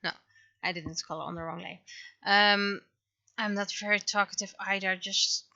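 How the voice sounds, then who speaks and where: A woman's voice: mid-range voice, steady tone, normal speed, vocal fry, bright, forceful tone, highs cut off. A woman chatting casually on a livestream while she works on her art, rambling and thinking aloud. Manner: rambling, thinking aloud, acts out remembered exchanges. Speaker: livestreamer, webcomic artist.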